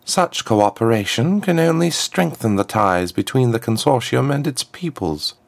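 A man's voice: mid-range voice, animated, moderate pace, voice pushed up. A haughty woman ambassador, acted out by a male reader, lifted well above his natural register. The delivery is formal and smug, swooping with silky menace in storybook villain fashion. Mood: icily courteous. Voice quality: smooth, lifted contralto.

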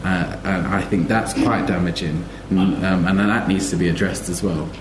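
A man speaking earnestly and haltingly as a panellist in a live discussion. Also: deep voice, steady tone. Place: live festival panel in echoey room. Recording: echoey room, faint noise.

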